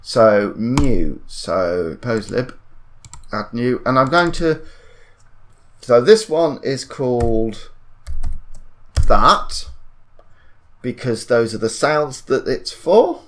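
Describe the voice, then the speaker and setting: A man talks through a software tutorial at a very slow, halting pace. His pitch is middling but moves around in an animated way. He thinks aloud and corrects himself as he works. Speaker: tutorial presenter. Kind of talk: unscripted software tutorial narration.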